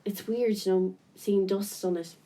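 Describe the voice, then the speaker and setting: Actress as dry, nosy woman, medium pitch, casual dialogue, distant from the mic, radio play. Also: fairly even intonation, a little slow, mellow, close to an everyday voice. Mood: wry, curious. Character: wry, nosy woman sorting a relative's belongings. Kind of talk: naturalistic radio drama dialogue.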